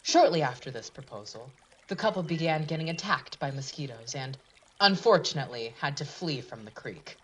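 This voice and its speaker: A woman's voice: low-pitched, expressive, moderate pace, slight background noise, low-quality recording. A woman narrating a scripted storybook tale for a puppet show in a dramatic fairy-tale manner.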